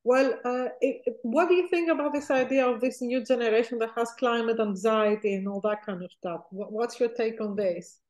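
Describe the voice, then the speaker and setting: Higher-pitched woman interviewer. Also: moderate pace, hardly any pauses, a little mellow. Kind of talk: informal interview questioning. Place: indoors at home, on a video call.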